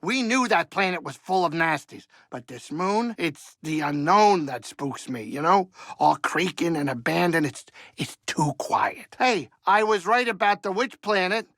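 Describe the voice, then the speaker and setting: A fussy middle-aged alien starship pilot from a video game. His voice sits a bit high for a male, bright and full of gravel, recorded dry and close. He grumbles and frets in a folksy, good-hearted way. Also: expressive, unhurried, few pauses, no room sound, heavily acted. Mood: fretful. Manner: folksy, fretful, grumbling, chatty. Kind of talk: video game character acting.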